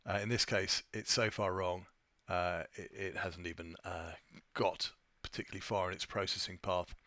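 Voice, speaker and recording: Bright-voiced man giving a software walkthrough. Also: low-pitched, level tone, normal speed, dry recording. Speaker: software tutorial presenter. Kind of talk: informal screen-share walkthrough.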